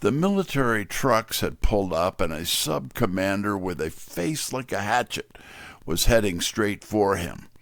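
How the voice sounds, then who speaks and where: Slow, low-voiced wry man as a detective's sidekick. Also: lively intonation, fairly bright tone, no echo. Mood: wry, suspenseful. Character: wry, streetwise male detective's assistant telling the story. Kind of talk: first-person scripted mystery narration.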